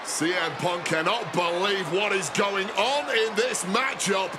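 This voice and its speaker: A man doing excited, dramatic play-by-play wrestling commentary in a video game. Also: high-pitched, measured pace, music in the background. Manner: dramatic, emphatic delivery. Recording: loud arena crowd noise over voice. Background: roaring wrestling arena crowd.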